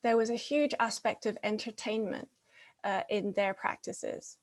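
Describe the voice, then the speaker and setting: A woman speaking at a conference, her voice at an ordinary middle pitch. She explains things step by step and often stops to search for a word or start a phrase again. Her first language is English. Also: a little slow. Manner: frequent fillers and restarts, explanatory. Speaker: conference presenter. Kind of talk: academic conference presentation.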